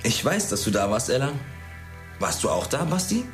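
An actor playing a cocky, mocking teenage schoolboy in a naturalistic radio drama, sarcastic and slangy. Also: male voice, mid-range voice, very animated, moderate pace, soft background music, close to an everyday voice. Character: cocky, mocking teenage schoolboy who provokes his classmates.

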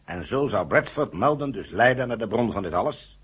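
An actor playing a sober, matter-of-fact astronaut explaining himself in a 1970 radio drama. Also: male voice, fairly low pitch, lively intonation, a little fast, breathy edge. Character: sober male astronaut confessing a secret plot. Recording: dull, muffled old radio recording.

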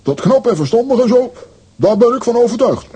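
A man voicing a vain, gullible, blustering comic hero in a children's audio drama, husky and boastful. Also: extremely high pitch, animated, a little fast, dark tone, exaggerated voice. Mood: smug, wary. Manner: boastful, indignant.

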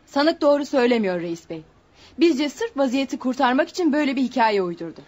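A woman's voice: sits high, very animated, a little fast, darkish tone. An actress playing a formal, accusing female prosecutor in a radio theatre drama. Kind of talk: Turkish radio theatre acting. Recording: muffled lo-fi radio drama recording.

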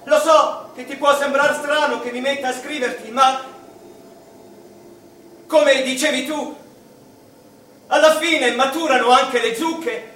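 In an echoey, noisy recording, an eccentric, sad mathematician with a very high voice. He is childlike and confiding, rambling emotionally as if writing to his mother in a radio play. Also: fairly even intonation, slow, pauses often, sharp tone, far from the mic, exaggerated voice. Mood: wistful. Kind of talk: theatrical radio monologue.

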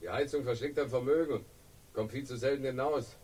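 An actor playing a suave, slippery crime boss in a radio drama, urbane and ironic, with smoothly evasive talk in a husky voice. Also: male voice, medium pitch, fairly quick, a little mellow, distant mic, natural voice. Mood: guarded, urbane. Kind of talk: 1960s German radio crime drama acting.

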